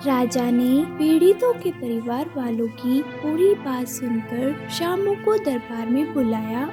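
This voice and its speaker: A woman giving scripted narration of a children's moral story in a measured storytelling manner. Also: sits high, animated, over music.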